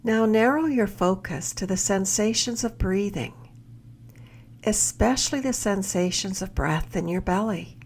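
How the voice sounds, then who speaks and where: Slow, creaky-voiced woman guiding meditation. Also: medium pitch, a little mellow, a little hiss or hum. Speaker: mindfulness mentor leading a body scan. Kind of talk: guided meditation narration.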